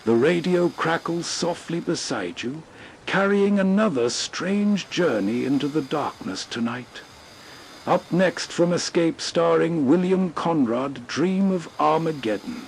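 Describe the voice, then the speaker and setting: A male host narrates slowly in a lively, swooping, gravelly voice, setting a late-night scene in scripted radio style. Faint noise sits behind him. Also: fairly high pitch, low-quality recording. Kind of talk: slow scripted atmospheric bedtime-radio narration.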